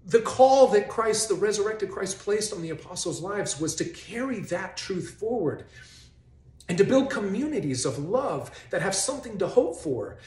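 A man in his forties hosting a faith video, giving sarcastic, mock-incredulous commentary straight to camera in a bright living room. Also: sits high, sing-song, normal speed. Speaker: host of a faith video series. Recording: roomy echo in a home.

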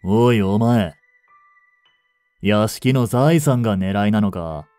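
A man acts out a young butler from a romance audio drama in a low, mellow voice. He is suave and greedy, with polite, unhurried speech that carries a soft, possessive menace. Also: no echo, soft background music. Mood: stern.

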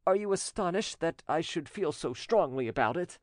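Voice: mid-range voice, lively intonation, normal speed, dry recording, studio quality, voice pushed up, close to an everyday voice. A narrator voicing a proud, scheming male French notary who feigns innocence, in an audiobook story. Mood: wounded dignity.

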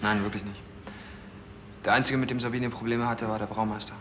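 An actor playing a subdued, terse husband answering police questions in a TV crime drama. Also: male voice, on the low side, fairly even intonation, a little fast, noisy background, natural voice. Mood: guarded. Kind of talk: naturalistic TV crime drama acting.

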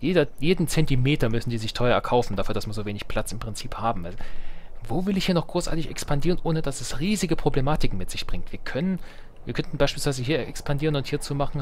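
A man's voice: mid-range voice, a little hiss or hum. A man gives casual let's play commentary, thinking aloud in a colloquial way with playful asides. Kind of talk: casual game commentary while playing.

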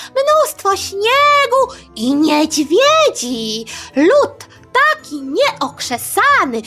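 Super high snooty gossipy court lady from a storybook, affected, sharp and swoopy. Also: full character voice. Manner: affected, exaggerated, drawn-out. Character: haughty, gossipy French court lady, theatrically condescending. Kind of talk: storybook character voice.

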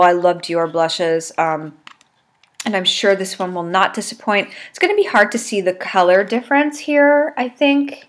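A woman in her thirties with a congested, stuffy voice, chatting casually to her webcam at home with lots of ums. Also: mid-range voice. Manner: chatty, rambling, many ums. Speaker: beauty vlogger. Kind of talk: casual chat to camera. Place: small room at home, indoors. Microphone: webcam at desk.

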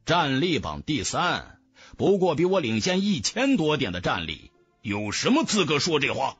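Man voicing a cocky, husky young martial hero. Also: on the high side, huge pitch swings, a bit bright, no echo, faint music behind, full character voice. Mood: mocking. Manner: cool, taunting, measured. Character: defiant, mocking, arrogant young male martial cultivator. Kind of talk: dramatic fantasy audiobook dialogue.

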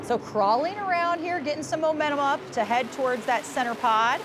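A woman's voice: sits high, brisk, background noise. A woman doing upbeat play-by-play commentary on a motorsport show, with engines behind her. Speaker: sports commentator. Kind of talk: arena sports commentary.

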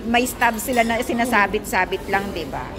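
A woman's voice: mid-range voice, normal speed, music in the background. A woman with a Filipino accent, chatting casually. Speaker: vlogger. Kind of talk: casual chat. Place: busy restaurant and mall interior. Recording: very noisy, crowded public place. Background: loud crowd chatter and ambient noise.

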